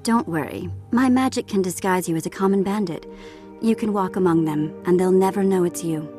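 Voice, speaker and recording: Low, poised noble sorceress played by a woman. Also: a little fast, dark tone, natural voice. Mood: reassuring, assured. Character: poised, self-assured court countess and sorceress. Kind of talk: video game voice acting. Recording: music behind the voice.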